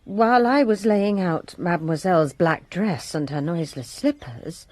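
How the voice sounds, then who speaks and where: A woman's voice: on the low side, moderate pace, a little mellow, no echo. A woman playing a bitter lady's maid. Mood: resentful. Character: resentful French lady's maid testifying. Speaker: maid giving testimony. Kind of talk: radio drama acting.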